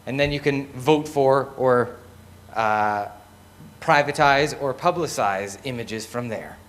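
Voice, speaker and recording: Man leading a conversational live pitch. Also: slow. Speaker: lead presenter.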